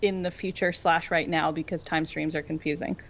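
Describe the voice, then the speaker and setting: Low-voiced woman, rambling casually. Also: fairly even intonation, moderate pace, muffled recording. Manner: rambling, tangential, filler-heavy with frequent "like". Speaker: podcast co-host. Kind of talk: casual fan discussion chat.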